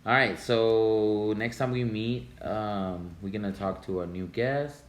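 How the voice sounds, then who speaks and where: A man's voice: on the low side, takes it slowly. A man with a Russian accent hosting a casual podcast chat, talking loosely with fillers and frequent laughs. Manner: laughs often, hesitant fillers. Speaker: podcast host. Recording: echoey room with faint noise.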